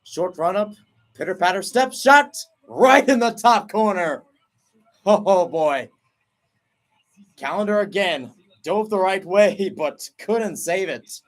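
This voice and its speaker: A young man doing excited play-by-play commentary of a soccer penalty shootout, with his pitch and volume leaping at each kick. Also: slow pace. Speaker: radio play-by-play announcer. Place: at home, not at the stadium.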